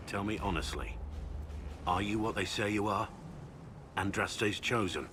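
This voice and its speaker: An actor playing a gruff, resolute warrior in a fantasy video game, grave and earnest in manner. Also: male voice, lowish voice, a little slow, noisy background, lightly acted. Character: gruff, resolute male warrior, grim but hopeful. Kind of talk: video game dialogue acting.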